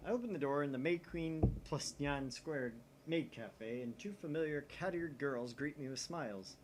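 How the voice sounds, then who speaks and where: A man's voice: fairly high pitch, moderate pace, a little hiss or hum, poor audio. A man co-hosting a game stream, reading visual novel narration aloud with joking asides. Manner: jokey, reads narration with dry asides.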